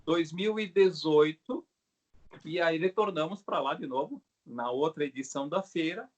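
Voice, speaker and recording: A middle-aged man with a Brazilian Portuguese accent explains his craft in a casual, halting way, often checking in with short tag questions. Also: fairly high pitch. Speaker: craftsman, bow maker being interviewed. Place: video call.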